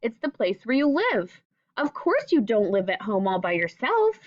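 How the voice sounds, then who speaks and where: A woman reads a picture book aloud as a teacher would for young children. Her voice sits fairly high and moves up and down a lot as she speaks straight to her listeners. The recording is very dry and a little muffled. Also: wildly swooping pitch, moderate pace, dry recording. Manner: addresses young listeners directly with simple questions. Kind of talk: children's read-aloud with questions and asides.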